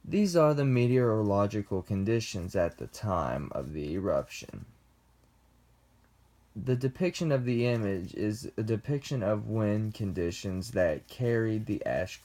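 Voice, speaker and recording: Young male student presenter, lowish voice, slow measured read. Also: gravelly, a little mellow. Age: young adult. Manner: slow, deliberate reading with pauses. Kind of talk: class presentation read from script.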